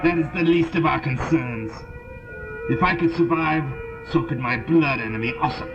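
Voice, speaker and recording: Audio drama alien zealot soldier, male actor: higher-pitched, declamatory, vengeful, on muffled audio with prominent music. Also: normal speed, close to an everyday voice. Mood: fierce, scornful. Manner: formal, grandiose, declamatory speech. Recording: dull lo-fi audio drama, loud music underneath.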